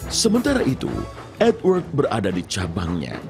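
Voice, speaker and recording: A man's voice: medium pitch, wildly swooping pitch, moderate pace, over music. A man narrating a children's cartoon in a scripted storybook style. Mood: storytelling.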